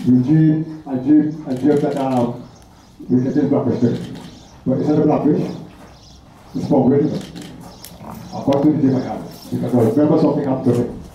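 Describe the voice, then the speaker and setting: A man's voice: mid-range voice, steady tone, moderate pace, pauses often, dark tone, slight background noise, close to an everyday voice. A Vincentian man playing a wary, superstitious veteran whaler in a live stage dramatization, with an insistent, repetitive way of talking. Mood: uneasy. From St. Vincent and the Grenadines. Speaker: performer in a folk whaling troupe. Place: festival tent stage, far camera. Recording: distant, very echoey, muffled festival tent recording.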